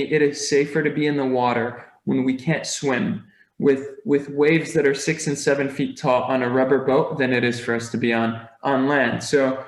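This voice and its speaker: A man's voice: mid-range voice, echoey room, low-quality recording. A man speaking as a podcast guest, telling his stories earnestly and reflectively in casual conversation. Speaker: podcast guest, nurse anesthetist. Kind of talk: casual interview storytelling.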